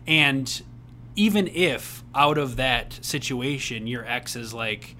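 A young man co-hosting a casual advice podcast, earnest and rambling with restarts, laughing easily, who says his voice is on the high side. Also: lively intonation, slow, sharp tone, slight background noise. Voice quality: says he has a rather high voice. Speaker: podcast co-host.

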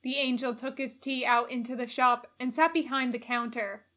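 A woman narrates a piece of fiction in a bright, forward voice. Her pitch sits a little high, and she reads in an expressive, prepared storytelling style. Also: moderate pace. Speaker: audiobook reader.

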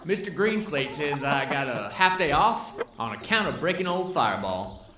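A man's voice: on the high side, very animated, moderate pace, background noise. A man playing a polite, earnest young cowboy with a Western American accent in a radio western. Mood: upbeat, friendly. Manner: folksy, polite cowboy talk. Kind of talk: old-time radio western acting.

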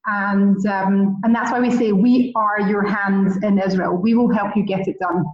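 A woman's voice: medium pitch, fairly even intonation, normal speed. Chatty Scottish woman, distant and echoey. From Scotland. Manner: chatty, enthusiastic storytelling. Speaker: guest, relocation concierge. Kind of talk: podcast interview. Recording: roomy, distant, muffled.

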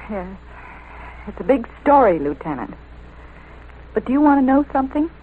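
Tired sympathetic lady reporter, halting, old radio drama, tinny and a bit hissy. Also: high-pitched, big pitch swings. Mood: weary regret. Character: sympathetic, world-weary woman newspaper reporter.

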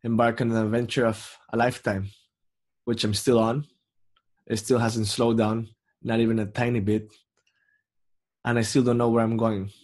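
A man's voice: mid-range voice, flat delivery, darkish tone. Reflective young man hosting a podcast. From Mexico. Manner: slow, reflective, with many pauses and ums. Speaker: podcast host. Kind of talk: solo podcast monologue.

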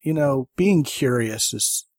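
A man's voice: medium pitch, expressive, takes it slowly, a little mellow, dry recording, clean studio sound. An American man hosting a podcast, talking in a chatty, enthusiastic way. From United States (Maryland). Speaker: podcast host. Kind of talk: casual conversation and show sign-off.

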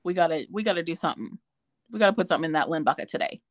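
A woman's voice: fairly low pitch, animated, racing, no room sound, clean studio sound. A woman talking casually with a friend, telling a story in a chatty way with lots of fillers. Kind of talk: casual chat.

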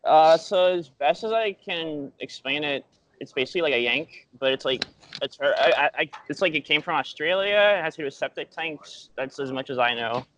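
A man's voice: fairly high pitch, lively intonation, fairly bright tone, slight background noise. A man chatting as a podcast guest, laughing often and stumbling over his words. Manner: laughs a lot, halting. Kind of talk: casual podcast chat.

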